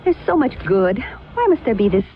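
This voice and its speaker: Woman playing an animated, sardonic young woman. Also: mid-range voice, brisk, lightly acted. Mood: doubtful, exasperated. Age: young adult. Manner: dramatic, sardonic, protesting. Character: spirited, skeptical young woman, reluctant sorcerer's apprentice. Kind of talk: 1970s radio drama acting. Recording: dull, lo-fi vintage radio recording.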